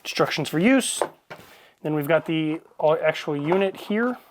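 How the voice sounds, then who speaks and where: A man's voice: a little high, animated, moderate pace, a little hiss or hum. A man giving a casual, plain-spoken product review, explaining things informally as he goes.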